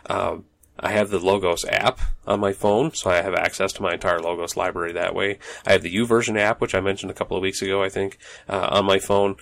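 A man's voice: sits low, little pitch movement, a little fast, vocal fry, fairly bright tone. A man hosting a solo podcast from his home office, talking in a loose, rambling way with many ums and restarts. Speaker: podcast host. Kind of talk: casual solo podcast monologue.

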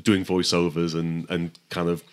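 A man in his thirties, a podcast guest with a naturally flat, monotone voice, talking casually in a rambling, self-deprecating way. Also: deep voice, normal speed. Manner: rambling, self-deprecating, many fillers and restarts. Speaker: podcast guest, comics letterer and editor. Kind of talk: casual conversation. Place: home studio.

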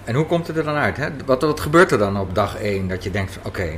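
A man's voice: on the low side, expressive, fast talker. A man interviewing casually, probing and thinking aloud as he asks. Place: café. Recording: faint café background noise.

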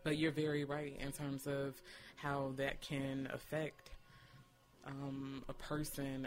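A man speaking earnestly and reflectively in conversation, feeling out his phrasing with frequent filler phrases. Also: mid-range voice. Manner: hesitant, thoughtful phrasing with frequent "you know". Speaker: doula and discussion participant.

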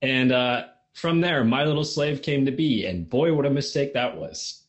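A man's voice: medium pitch, normal speed. A man of about twenty chatting casually as an interview guest, in a giggly, self-deprecating and slightly nervous way. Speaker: interview guest, amateur fan fiction reader. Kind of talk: casual chat.

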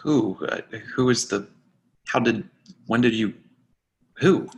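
A man acting as a reluctant, exasperated lovesick friend in a play reading, halting and flustered with deadpan retorts. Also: medium pitch, vocal fry. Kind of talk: readers-theatre comedy-drama acting.

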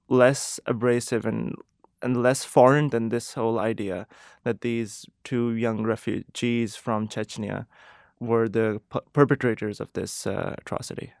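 A young man with a Pakistani accent, answering radio interview questions in a thoughtful, measured and earnest way. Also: medium pitch, creaky, darkish tone, no room sound, studio quality. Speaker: interview guest, medical resident.

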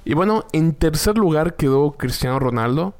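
A man, co-hosting a casual sports chat in an animated, joking way. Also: a little high, normal speed, clear, resonant tone, faint music behind. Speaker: co-host.

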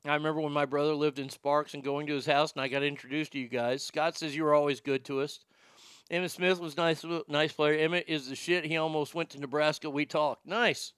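A man's voice: a little high, steady tone, fairly quick, fairly bright tone, smooth, dry recording. A man in his fifties from Texas, a radio host telling profane, rambling stories in a casual monologue. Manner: profane, rambling storyteller with many restarts.

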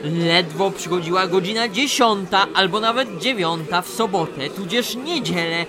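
An actor playing a put-upon, grumbling painter in a comic radio play, exasperated and bookishly wordy. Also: male voice, high voice, normal speed, crowd noise, natural voice.

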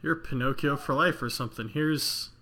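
A man's voice: mid-range voice, normal speed, pushed and bright. A man hosting an interview, asking eager, enthusiastic questions. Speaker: interview host. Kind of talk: casual interview chat.

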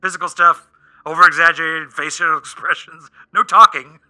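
A man's voice: a little high, steady tone, moderate pace, pushed and bright, rough recording. A man acting an exasperated, sarcastic, prying Hollywood agent in a comedic radio play, talking in incredulous, rambling rants. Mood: squeamish exasperation.

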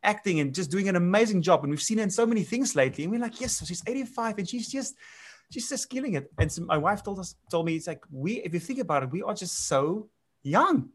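A man's voice: sits high, animated, fast talker. A man in his late thirties from South Africa, whose first language is Afrikaans, chatting as a winemaker guest, rambling with many restarts and fillers. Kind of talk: casual interview chat.

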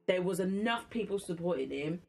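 A woman's voice: fairly low pitch, animated, brisk, vocal fry, a little mellow. A woman in casual podcast conversation, insistent when she makes her point. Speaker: podcast host. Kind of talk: casual chat and debate.

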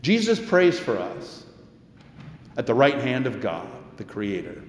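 A middle-aged or older American man preaching as a pastor, measured and deliberate, with pauses and rhetorical questions. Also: mid-range voice, very animated, a little hiss or hum. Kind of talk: sermon. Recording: echoey church hall with long reverb.

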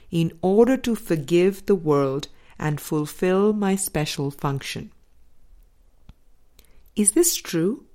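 A woman reading a spiritual book aloud as scripted narration, in a measured, deliberate way with pauses between phrases. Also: on the low side, a little mellow. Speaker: audiobook reader.

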